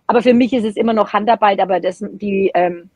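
Husky middle-aged lady, chatty and candid, very dry close sound. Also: medium pitch, fast, a little mellow, dry recording. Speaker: podcast guest, teacher. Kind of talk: casual chat. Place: small room at home, indoors.

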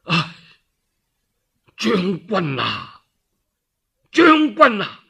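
A Cantonese-speaking man voicing a cornered warlord chancellor in a storytelling radio play, boastful and wheedling, with theatrical drawn-out phrasing. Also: high voice, wildly swooping pitch, vocal fry, pushed and bright, breathy edge, heavily acted. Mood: pleading.

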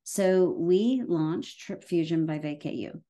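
A woman's voice: on the low side, slow pace, warm tone. A woman with an Irish accent giving a casual webinar product presentation over a video call, with frequent fillers and restarts. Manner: hesitant, many fillers and restarts.

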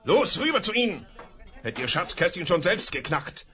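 An actor playing a rough, bold jailbird outlaw in a 1970s radio drama, gruff and commanding, recorded from a noisy vinyl record. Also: male voice, sits high, sing-song, normal speed, bright, forceful tone, heavily acted. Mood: urgent.

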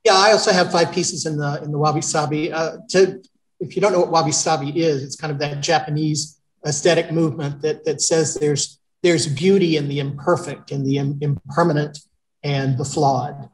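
A man's voice: fairly high pitch, steady tone, normal speed, poor audio. A middle-aged man co-hosting a casual video call chat, talking in a rambling, easygoing way. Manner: chatty, rambling, with restarts. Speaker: co-host, painter. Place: home studio with paintings on walls.

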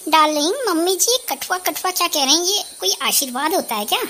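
A woman's voice: very high voice, animated, fairly quick, sharp tone, heavily acted. A woman voicing a clueless, sweetly demanding young city bride in an amateur cartoon comedy. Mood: puzzled.

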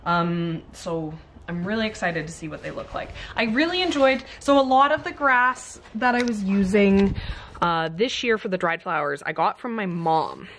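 A woman's voice: medium pitch, expressive, normal speed, fairly bright tone, slight background noise. A woman in her thirties with a Canadian accent, chatting to camera in a casual, rambling and enthusiastic way as she unboxes stock in a garage. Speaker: flower farmer and vlogger. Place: indoors in a large cluttered garage workshop.

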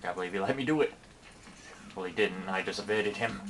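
A man's voice: low voice, fairly quick, fairly bright tone, a little hiss or hum. A man giving casual, self-deprecating commentary over his own gameplay, swearing and muttering to himself. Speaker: gaming channel host.

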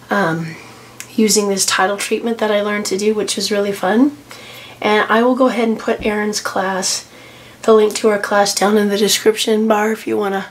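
A middle-aged woman with a hoarse voice from a cold, chatting casually as she walks through her journal pages, with lots of ums and restarts. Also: medium pitch. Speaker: journaling vlogger. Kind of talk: casual tutorial walkthrough. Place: home desk, camera over a tablet.

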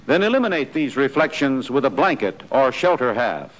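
1940s American training film narrator, noisy soundtrack. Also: male voice, medium pitch, very animated, moderate pace, dull audio. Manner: clear, instructive, imperative delivery.

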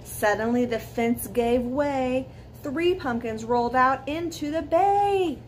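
A woman in her forties reads a children's bedtime story aloud with dramatic emphasis, pausing to put questions to young listeners. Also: high-pitched, lively intonation. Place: living room at home beside a fireplace. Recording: clear background noise, room ambience.

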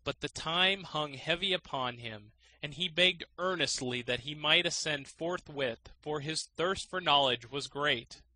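A man's voice: fairly high pitch, a little slow, hardly any pauses, bright, forceful tone, dry recording, low-quality recording. A man narrating a fairy tale as an audiobook reader, in a scripted storytelling style.

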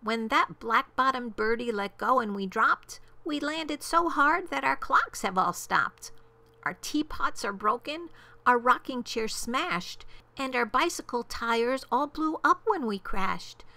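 Woman doing a high, piping tiny storybook mayor. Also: lively intonation, measured pace, vocal fry, bright, forceful tone, no echo, exaggerated voice. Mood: plaintive, pleading. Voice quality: piping, small. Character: tiny, polite, grateful mayor of miniature people.

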